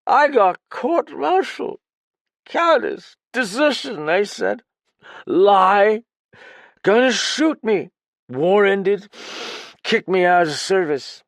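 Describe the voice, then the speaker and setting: A drunken, frightened old pianist. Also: male voice, high-pitched, no room sound, studio quality, pitched above the performer's own voice, exaggerated voice. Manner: slurred, clipped fragments, pleading then frantic. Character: drunken, terrified older male pianist, broken and pleading. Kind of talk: audiobook character voice.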